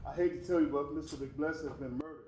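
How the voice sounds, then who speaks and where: A man's voice: on the high side, fairly even intonation, fast talker, roomy sound, far from the mic, very noisy, lightly acted. An actor playing a solemn, formal man bringing bad news in a stage play.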